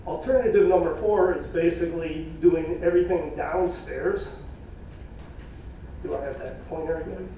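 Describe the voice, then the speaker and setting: A man presenting cost estimates at a public meeting in a plain, folksy way, losing his voice, with halting fillers. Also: on the high side, a little hiss or hum. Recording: echoey room from far off, dull.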